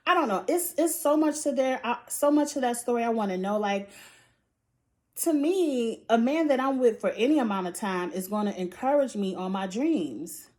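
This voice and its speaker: Woman, high-pitched voice, outspoken, animated, casual vlog chat, reality TV recap. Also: moderate pace. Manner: animated, outspoken, frequent laughs and sighs.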